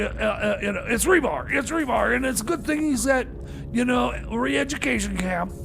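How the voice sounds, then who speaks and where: A man acting a hapless stand-in radio DJ with a very high, creaky voice. He stammers slowly and unsurely through his rambling comedy patter, always sounding flustered. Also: fairly bright tone, slight background noise, full character voice. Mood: flustered, apologetic. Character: flustered hapless male time machine mechanic stand-in DJ. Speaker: radio show DJ.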